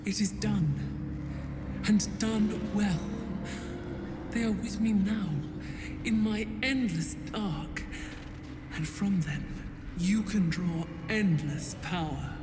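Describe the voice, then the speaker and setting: An actress playing a cold, cruel and seductive dark goddess in a video game, speaking in solemn, commanding incantations. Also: female voice, mid-range voice, close to an everyday voice. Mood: imperious. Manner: solemn, imperious, deliberate incantatory delivery. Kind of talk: dramatic video game acting. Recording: long cavernous reverb under loud music.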